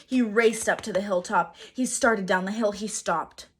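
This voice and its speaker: A woman reading a children's story aloud as a teacher, with expressive, dramatic emphasis. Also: medium pitch, slightly rough. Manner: dramatic emphasis, pauses to quiz listeners.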